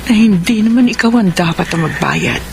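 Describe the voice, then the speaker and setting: A woman's voice: medium pitch, normal speed, gravelly, noisy background, lightly acted. A woman with a Filipino accent playing an emotional older mother in a melodramatic radio drama. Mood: tearful, remorseful. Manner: expressive, melodramatic.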